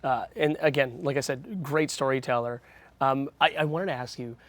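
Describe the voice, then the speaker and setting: A man's voice: medium pitch, normal speed, no echo. A male radio host with a slightly scratchy voice, asking rambling, enthusiastic questions. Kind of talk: casual interview chat. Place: indoor radio studio.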